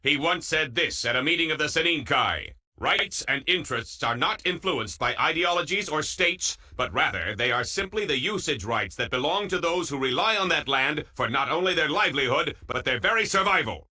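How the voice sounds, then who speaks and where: A fanatical military demagogue for a video game. The voice is piercingly forceful and fairly high, swinging widely as he declaims with grandiose passion. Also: expressive, moderate pace, hardly any pauses, full character voice. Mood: grave, bitter. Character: fanatical nationalist military orator rousing his followers. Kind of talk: political speech in English video game dub.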